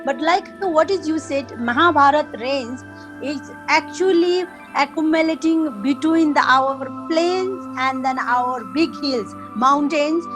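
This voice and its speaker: A woman from Nepal with a Nepali accent, a guest in a casual interview, speaking haltingly with many fillers as she searches for words. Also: sits high, music in the background, poor audio.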